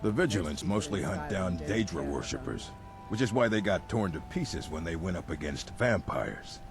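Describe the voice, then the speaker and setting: An actor playing a stern, zealous vampire hunter in a fantasy video game, blunt and scornful, with a gravelly delivery. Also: male voice, low-pitched, lively intonation, normal speed. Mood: scornful, grim. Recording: game music behind the voice. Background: game music.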